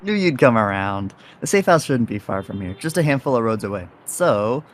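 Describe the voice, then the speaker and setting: A man's voice: medium pitch, sing-song, normal speed, a little hiss or hum, lightly acted. A man playing an easygoing, cheeky young rogue in an audio drama, talking in casual, wry slang. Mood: chatty, teasing. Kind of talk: audio roleplay drama acting.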